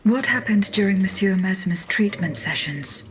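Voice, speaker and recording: An actress playing a calm, curious female automaton investigator in a video game, polite and direct. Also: mid-range voice, normal speed, a little hiss or hum, natural voice. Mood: calm inquiry. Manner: composed, polite, direct questioning. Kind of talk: video game cutscene dramatic acting.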